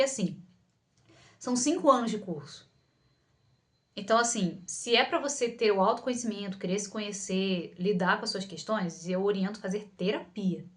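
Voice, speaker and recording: A woman's voice: medium pitch, a bit bright. A young adult Brazilian woman with a Carioca accent, answering followers' questions in an informal, conversational way, often checking in with listeners. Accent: Carioca Brazilian Portuguese. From Rio de Janeiro, Brazil. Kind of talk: casual talk to camera, Q&A.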